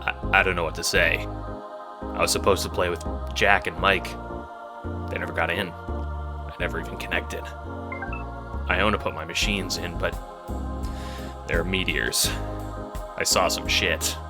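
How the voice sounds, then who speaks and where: Man playing a deep-voiced, shaken doomed young guy. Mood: shaken, lost. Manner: halting, swearing, shaken. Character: distraught young man, doomed, cursing, resigned to die. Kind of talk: audio drama acting.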